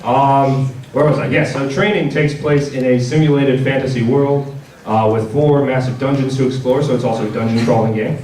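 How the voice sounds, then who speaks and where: A man giving an informal presentation at a convention panel, speaking in a halting, stop-start way and repeating words. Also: mid-range voice, fairly even intonation, a crowd in the background. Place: large indoor convention panel room. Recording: echoey hall, distant mic, audience noise.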